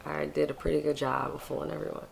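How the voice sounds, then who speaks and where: Regretful mother, lightly creaky voice woman acting. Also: low-pitched, level tone, fast talker. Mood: regretful, earnest. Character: regretful birth mother pleading with her grown son. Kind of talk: dramatic screen acting. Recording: faint background noise.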